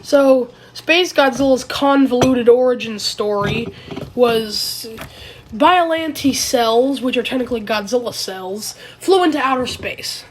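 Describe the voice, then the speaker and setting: A woman with a sick, raspy voice, pitched a little high, talks slowly through a casual commentary video. She rambles, hesitates and keeps correcting herself. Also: a little hiss or hum. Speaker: video creator.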